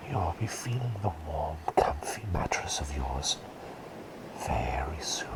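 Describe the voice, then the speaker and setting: A man playing an eccentric, scatterbrained but gentle plague doctor in a soft, soothing, rambling whisper for an ASMR roleplay. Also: very deep voice, exaggerated voice, low-quality recording. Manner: rambling, soothing, softly repeating words. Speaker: ASMR performer.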